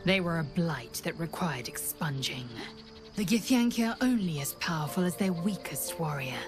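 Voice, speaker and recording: A woman's voice: sits low, animated, moderate pace. An actress voicing a fierce, proud female githyanki warrior with a harsh, declaiming manner, heard over a music score. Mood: cold contempt.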